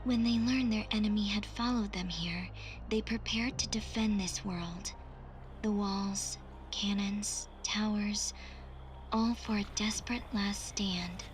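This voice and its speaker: A woman voicing a solemn, wistful female artificial intelligence in a video game, speaking in a soft, breathy, formal and measured way. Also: medium pitch, fairly even intonation, a little hiss or hum, close to an everyday voice. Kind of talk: scripted video game cutscene acting. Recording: music under the voice. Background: game music.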